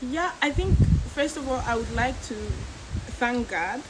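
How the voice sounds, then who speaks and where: Reflective woman guest, fairly high voice. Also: lively intonation, a little slow, heavy background noise. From Zambia. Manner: thoughtful, reflective, many fillers. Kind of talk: casual chat with a friend.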